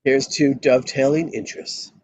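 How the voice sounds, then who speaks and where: A man's voice: medium pitch, fairly even intonation, normal speed, darkish tone, no echo, lightly acted. A man playing a laid-back, sardonic husband in a casual, wry way at a script table read. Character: laid-back, sardonic married man, expectant adoptive father.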